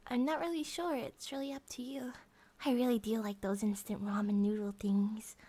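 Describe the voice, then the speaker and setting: A woman voicing a gentle, affectionate monster-girl bear wife in a soft ASMR comfort roleplay, often dropping to a whisper. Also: fairly high pitch, level tone, normal speed, natural voice. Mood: casual, gentle.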